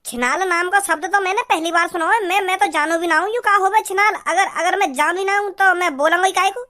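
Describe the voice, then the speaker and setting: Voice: very high voice, fast talker, bright, forceful tone. A performer voicing blustering village men in a comedy cartoon, repeating words. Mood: nervous denial.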